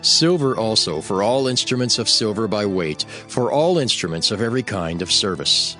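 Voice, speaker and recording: A male narrator reads scripture in a lowish voice. His phrasing is formal and deliberate, as in a scripted audio Bible. Faint music runs underneath. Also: expressive. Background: soft background music.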